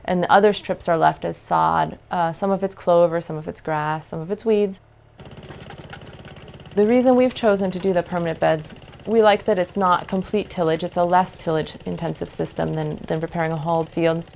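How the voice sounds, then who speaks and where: A woman's voice: on the low side, steady tone, moderate pace, vocal fry, darkish tone, slight background noise. A woman in her thirties, a farmer with an American accent, earnestly explaining her farm's methods in a documentary interview recorded indoors on a lapel mic. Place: living room with bookshelves, indoors.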